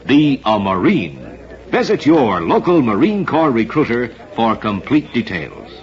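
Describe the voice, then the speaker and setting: A man's voice: mid-range voice, sing-song, a little slow. An American man announcing in a scripted old-time radio style, with formal, theatrical delivery. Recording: dull, lo-fi vintage radio recording.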